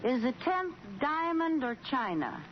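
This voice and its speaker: An actress playing an excitable, scheming young wife in a theatrical, exaggerated vintage radio sitcom style. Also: female voice, high voice, unhurried. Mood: playful, hopeful. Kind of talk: 1940s radio sitcom acting. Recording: dull, noisy vintage radio recording.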